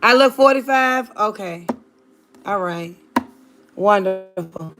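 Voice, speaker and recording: A woman's voice: mid-range voice, slow pace, fairly bright tone, soft background music. A woman in her thirties from Virginia, chatting sassily and playfully with viewers on a live stream. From Virginia, United States. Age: 30s. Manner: sassy, playful, talks straight to her chat. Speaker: livestreamer.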